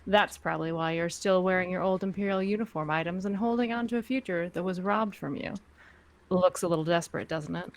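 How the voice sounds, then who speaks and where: A woman playing a cool, condescending female crime syndicate spymaster in a sci-fi script read, with quiet threat in her measured delivery. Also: fairly low pitch, close to an everyday voice. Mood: cool, knowing. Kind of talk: script table read of sci-fi fan drama.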